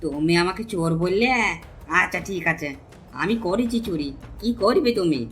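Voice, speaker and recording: A woman's voice: on the low side, expressive, moderate pace, faint music behind, close to an everyday voice. A woman voicing a menacing, thieving male village bully in a Bengali cartoon, taunting and threatening. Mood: angry, menacing. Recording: clear background noise and ambience.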